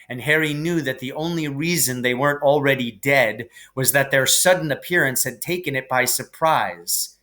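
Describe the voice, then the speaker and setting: Slow, bright-voiced man reading a storybook. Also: on the high side. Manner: deliberate, suspenseful. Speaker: reader. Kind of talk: dramatic storybook narration.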